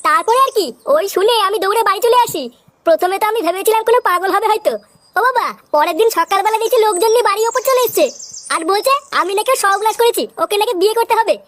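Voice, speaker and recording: A performer voicing an excitable, foul-mouthed cartoon village youngster in speech, with a squeaky pitched-up effect. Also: fast. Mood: pleading. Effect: pitch-shifted cartoon voice. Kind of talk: comedy cartoon dialogue.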